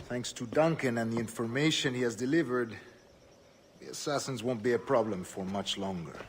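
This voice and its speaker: A grandiose scheming leader. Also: male voice, medium pitch, slight background noise. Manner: grandiose, measured, formal. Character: commanding, scheming male Templar leader, smooth and grandiose. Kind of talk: dramatic video game acting.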